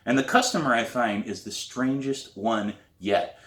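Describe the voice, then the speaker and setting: A man, an author, reading his novel aloud in expressive narration. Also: mid-range voice, unhurried, reverberant. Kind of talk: expressive audiobook-style narration.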